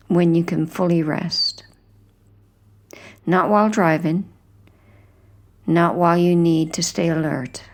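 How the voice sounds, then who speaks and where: Woman, gravelly creak, very slow delivery, gentle hypnosis narration, hushed, soft trailing endings. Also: lowish voice. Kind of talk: guided sleep hypnosis, soothing scripted narration.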